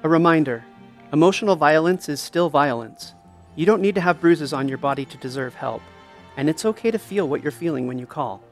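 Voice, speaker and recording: A man's voice: fairly high pitch, expressive, a little fast, soft background music. A man reading a scripted podcast message to listeners in an earnest, reassuring way. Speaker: podcast host.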